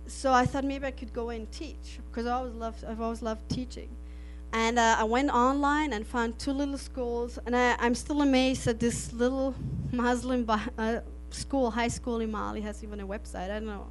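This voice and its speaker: Middle-aged austrian woman, german native, telling her mission story, slightly high voice, faint hum behind. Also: level tone, normal speed, rough recording. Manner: earnest, laughs often, restarts phrases. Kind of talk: testimony to an audience.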